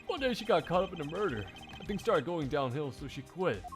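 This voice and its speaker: A loyal, scruffy detective from a detective game, earnest and eager, with a bouncy, lively voice, as a man voices him. Part of a live one-man fan dub. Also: on the high side, brisk, faint music behind. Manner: eager, with a trailing "sir".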